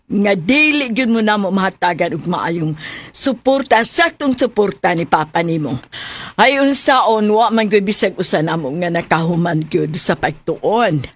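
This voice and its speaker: A woman with a Cebuano accent playing a careworn mother of a poor family in a radio drama. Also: mid-range voice.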